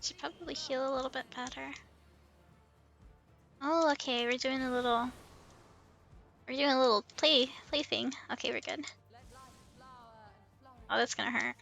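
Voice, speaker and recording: A woman with a high, bright voice that breaks into creak talks casually as she streams a game. She is giggly and excitable, exclaiming often, with music playing softly behind her. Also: normal speed, pauses often. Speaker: streamer, host of the show. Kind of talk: casual livestream game chat. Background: background music.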